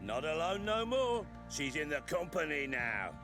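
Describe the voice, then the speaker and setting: An actor playing a jovial, teasing hunting companion in a video game, folksy and amused, with music behind. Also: male voice, fairly high pitch, very animated, unhurried. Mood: friendly. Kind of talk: dramatic game acting.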